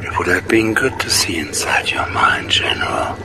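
A power-mad psychic mastermind for a video game. The voice is extremely deep and creaky, swooping through silky, deliberate threats. He is smug and menacing throughout. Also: big pitch swings, very noisy, exaggerated voice. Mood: quietly menacing. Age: middle-aged. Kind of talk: video game cutscene villain acting.

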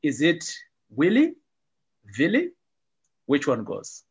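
A man's voice: on the high side, wildly swooping pitch, slow, over music. Upbeat middle-aged guy hosting a video call chat, kinda dull lo-fi sound. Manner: upbeat, repeats phrases like "awesome stuff". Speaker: host. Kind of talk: casual video-call interview.

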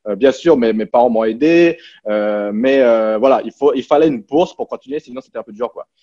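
A man in his thirties from French Guiana talking casually and haltingly as an interview guest on a video call. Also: mid-range voice, warm tone. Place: small plain room indoors. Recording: dull, lo-fi video call audio.

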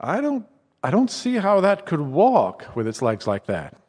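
An actor playing a calm, practical farmer who speaks kindly but gives firm orders in a radio drama. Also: male voice, high voice, measured pace, exaggerated voice. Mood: wary. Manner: kind but wary, commanding. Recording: reverberant radio drama recording.

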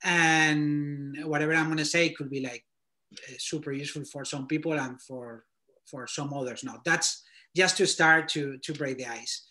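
A Spanish-accented man in his thirties talks casually over a video call, his voice sitting a little high for a man. He rambles loosely, leaning on fillers as he works out his thoughts. The sound is a laptop mic in a small room at home. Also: fairly even intonation, moderate pace, sharp tone. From Spain. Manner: loose, filler-heavy, thinking aloud. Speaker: guest portfolio reviewer. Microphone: laptop webcam video call.

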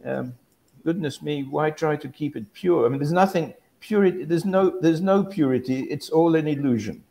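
A man's voice: a little high, moderate pace, creaky, mellow. An older man talks in a loose, wandering way, restarting his sentences as he thinks aloud. He sounds like he is on a video call from a room at home. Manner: rambling, self-correcting, musing. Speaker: guest academic. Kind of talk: casual discussion on a video call.

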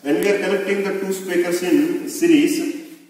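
A young man gives a deliberate, repetitive instructional demonstration as a lab instructor, recorded at a distance in a very echoey hall. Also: sits high, steady tone, darkish tone. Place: large indoor lab room with hard surfaces.

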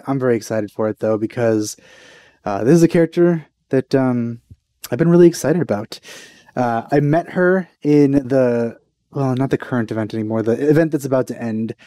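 A man's voice: mid-range voice, a little fast, mellow, dry recording. A young man chatting casually as a reaction video host, informal and enthusiastic, speaking into a headset. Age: young adult. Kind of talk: casual chat reacting to a game trailer. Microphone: headset microphone.

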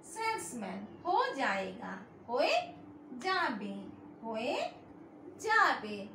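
High, lively woman teaching at a whiteboard. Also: roomy sound, a little hiss or hum. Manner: explains each phrase slowly, repeating words for learners. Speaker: language teacher. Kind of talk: whiteboard language lesson. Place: indoor room in front of a whiteboard.

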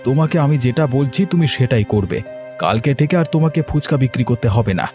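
A man's voice: medium pitch, animated, quick pace, over music, close to an everyday voice. A man voicing a proud, insistent younger brother, earnest and firm, in a cartoon moral story.

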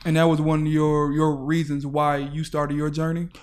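A man's voice: a little high, flat delivery, moderate pace, darkish tone, clear, resonant tone. An American man in his thirties casually asking questions as a podcast host. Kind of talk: casual chat. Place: small indoor room at a table. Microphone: podcast microphone on boom arm.

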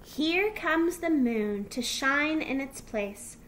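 Woman as a gentle mother fox, slow and lilting. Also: high-pitched, expressive, noisy background, pitched above the performer's own voice. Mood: gentle, reassuring. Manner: soothing, teaching, encouraging. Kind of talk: children's storybook character voice.

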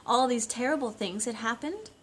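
A woman in her thirties reading and talking to young children in simple, expressive storytime phrasing, recorded on a phone at home. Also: a little high, moderate pace, husky. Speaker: children's storytime reader and teacher. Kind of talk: storytime read-aloud and talk to young children. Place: living room at home, indoors. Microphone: phone at about arm's length. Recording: noisy homemade phone recording.

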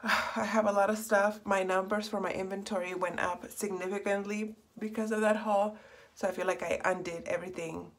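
Slightly raspy young woman with a cold. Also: mid-range voice. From Texas. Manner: chatty, rambling, self-correcting. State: hoarse from a cold. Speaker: beauty vlogger. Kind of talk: casual get-ready-with-me chat. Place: small bedroom in front of a door.

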